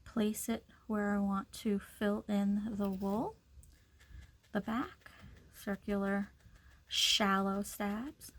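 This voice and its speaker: A woman's voice: medium pitch, slow pace. A woman giving a casual step-by-step craft demonstration, informally talking through each action as she works. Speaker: craft tutorial instructor.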